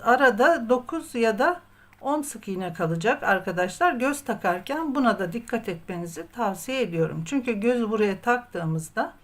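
Woman calmly giving step-by-step craft instructions. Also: a little high. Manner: patient, methodical, often counting aloud. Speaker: crochet tutorial host.

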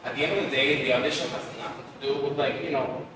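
A young man as an actor guest, chatting casually and loosely with plenty of fillers. He sounds far from the mic in a boomy studio, with a long reverb tail and noticeable background hiss. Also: fast. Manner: relaxed storytelling with many fillers. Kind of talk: casual interview chat. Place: podcast studio with graffiti wall. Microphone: headset mic seen, far camera. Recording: echoey room, distant, noisy.

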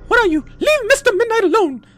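A let's player acts out a gentle, sad young game heroine. Her voice is high and bright. She speaks earnestly and politely, with a nervous edge. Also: big pitch swings, brisk, exaggerated voice. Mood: defensive.

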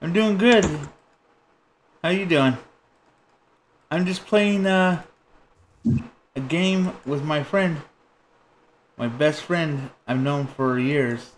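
A man's voice: a little high, slow pace, pauses often, slight background noise. A man streaming a video game, chatting casually with crude, joking banter while he plays. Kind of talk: casual gaming chat.

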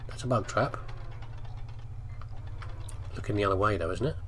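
A man's voice: lowish voice, moderate pace, fairly bright tone, slight background noise. A British man giving casual, playful game commentary, chatting to the character and asking himself questions. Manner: playful, chatty, asks himself questions, reads on-screen text aloud. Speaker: let's play host.

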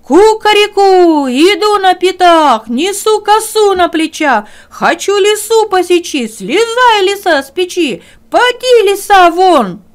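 A woman voicing a bold, strutting male rooster in a loud, commanding storybook chant. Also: very high voice, animated, takes it slowly, hardly any pauses, voice pushed up, heavily acted.

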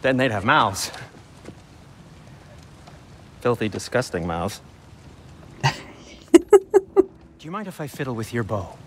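Fussy dwarf smith, chatty, quirky, lively pitch. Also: male voice, measured pace, a little hiss or hum. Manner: chatty, fussy, odd humor.

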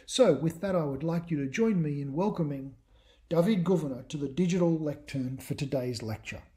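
A man's voice: fairly high pitch, a little fast, warm tone. A man introducing the speaker of a lecture series, reading a formal scripted introduction.

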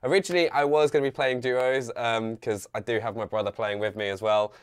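A young man commentating a live game stream on a headset, chatting, reading viewer messages aloud and getting excited in bursts. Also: medium pitch, fairly quick, clean tone.

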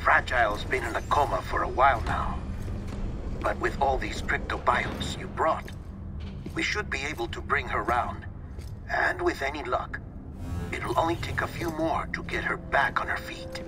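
A man's voice: medium pitch, normal speed, music in the background, close to an everyday voice. A man playing an earnest, encouraging outpost colleague in a video game. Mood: relieved. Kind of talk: video game acting, radio briefing. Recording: clear background rain and ambience.

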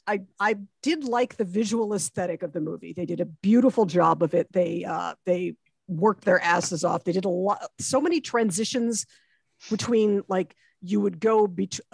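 A woman's voice: mid-range voice, creaky, a little mellow, dry recording. Middle-aged woman chatting, close dry sound. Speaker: podcast co-host. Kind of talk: casual, unscripted film discussion. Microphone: headset on a video call.

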